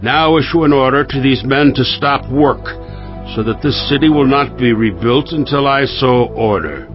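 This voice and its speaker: A man's voice: mid-range voice, normal speed, lightly acted. A man playing a Persian king in a dramatized scripture reading, issuing decrees with formal authority. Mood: regal, commanding. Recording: dull audio with loud music behind. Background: music.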